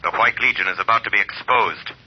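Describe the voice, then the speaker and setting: A man playing a mysterious unseen crime-fighter, smoothly taunting and menacing, in old radio drama style. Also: fairly low pitch, fairly quick. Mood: mocking menace. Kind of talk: 1930s radio drama acting. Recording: muffled old radio recording.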